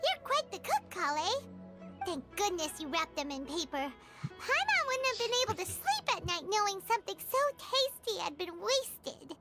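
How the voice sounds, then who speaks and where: A woman voicing a tiny, childlike, cheeky fairy companion in a video game, squeaky, cutesy and exclamatory. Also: very animated, moderate pace, full character voice. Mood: delighted. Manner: speaks of self in third person, exclamatory. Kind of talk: video game anime-style voice acting. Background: game music.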